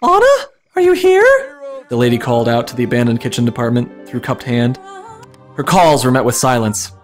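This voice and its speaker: A man's voice: medium pitch, flat delivery, brisk, a little mellow, faint music behind. A man reading a comic fan fiction story aloud as dramatic narration.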